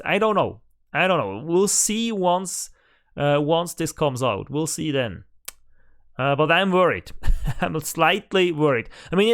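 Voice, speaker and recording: A man's voice: a little high, a bit bright, clean tone. A man who is a native German speaker, hosting casual game news commentary from home on a desk microphone, thinking aloud with lots of fillers and restarts. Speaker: gaming channel host.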